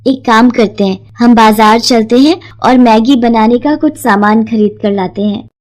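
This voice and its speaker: Woman voicing an eager, high-pitched schoolgirl. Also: moderate pace, a little mellow, slight background noise, close to an everyday voice. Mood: eager, hopeful. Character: bright, eager, caring teenage schoolgirl daughter. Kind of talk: storybook cartoon character voice.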